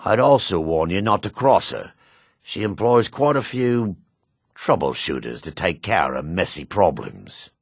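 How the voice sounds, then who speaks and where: An actor playing a shifty, greedy dark elf merchant and foreman in a fantasy video game, brusque and sly, with a raspy voice. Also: sits low, big pitch swings, a little slow, dry recording, studio quality, full character voice. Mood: smug, confiding.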